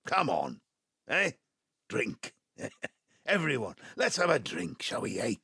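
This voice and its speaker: An old Valleys Welsh father in a naturalistic audio drama. He is husky and slow, with big swings in pitch. He rambles chummily, folksy and a little needy. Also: wildly swooping pitch, pushed and bright, no echo, clean studio sound, exaggerated voice. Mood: jovial, flustered. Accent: South Wales Valleys Welsh. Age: 70s. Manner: rambling, chummy, groping for words. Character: ageing Welsh patriarch, folksy, needy, jovial widower of 75.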